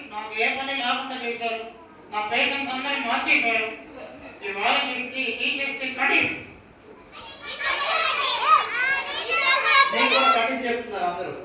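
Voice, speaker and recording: A man voicing a mischievous childlike puppet doctor in a children's ventriloquism show, squeaky, pushy and cheeky. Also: far from the mic. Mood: sulky, cheeky. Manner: exclaiming, contrary, pestering. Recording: echoey hall from afar, loud crowd noise.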